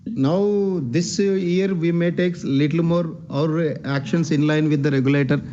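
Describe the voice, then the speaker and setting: A man's voice: on the high side, fairly even intonation, measured pace, mellow, slight background noise. An Indian man speaking as a bank executive on an earnings call, deferential and repeating himself as he answers. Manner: deferential, repeats himself, often says "sir". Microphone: conference call line. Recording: dull, band-limited conference call line.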